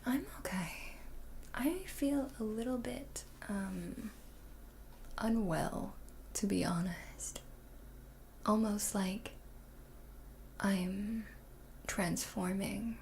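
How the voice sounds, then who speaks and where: A woman streaming ASMR, chatting casually in a breathy whisper close to the microphone in an unhurried, drifting way. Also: medium pitch, a little mellow, a little hiss or hum. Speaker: ASMR livestreamer chatting with viewers.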